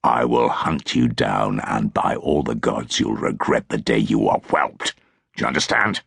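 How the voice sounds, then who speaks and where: A man voicing a gruff, ageing Roman soldier who speaks in curt commands and flinty threats, in an audiobook. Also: a bass voice, lively intonation, unhurried, fairly bright tone, no room sound, clean studio sound, voice pushed down, heavily acted. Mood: menacing, curt.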